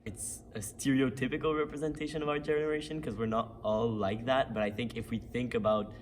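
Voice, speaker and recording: A teenage boy from Canada, a young actor answering interview questions thoughtfully, with pauses and fillers. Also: mid-range voice, fairly even intonation, slight background noise. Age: teens.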